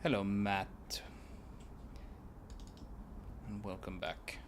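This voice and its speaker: A man's voice: on the low side, flat delivery, extremely slow, background noise. A man with a Swedish accent, a game developer chatting casually on a livestream and thinking aloud as he works, often repeating words. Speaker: solo game developer streaming. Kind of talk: casual livestream chat while working.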